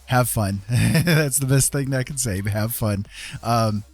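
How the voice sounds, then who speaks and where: A man livestreaming in a casual, rambling and gentle way. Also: medium pitch, slow pace, no room sound. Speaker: live streamer and artist. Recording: music playing behind the voice. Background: music.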